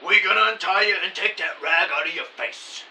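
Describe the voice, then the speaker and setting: A bossy middle-aged gangster, played by a man with a high-pitched, very sharp voice. He issues menacing orders in mobster slang, in a comic audio drama recorded slightly off mic with faint hiss. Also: moderate pace, creaky, heavily acted. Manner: old-time mobster slang, threatening orders. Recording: slightly distant, hissy home recording.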